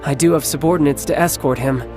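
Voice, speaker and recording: A man's voice: a little high, fairly even intonation, quick pace, close to an everyday voice. A man playing a suave, playful young warrior and doting big brother with a polished, teasing manner in a video game. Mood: wistful. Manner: polished, smooth-talking, teasing. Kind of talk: English game dub acting. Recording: background music under the voice.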